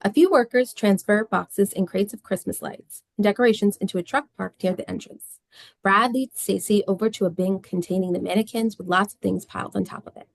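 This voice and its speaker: A woman's voice: medium pitch, brisk, creaky, no room sound. A woman reading scene descriptions and stage directions as the narrator of a script.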